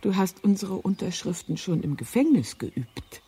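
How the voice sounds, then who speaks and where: An actress playing a naive, pious and gently dogged lady in a radio crime drama, speaking in an earnest, hesitant way. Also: medium pitch, sing-song, moderate pace, husky. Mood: gentle, resolute.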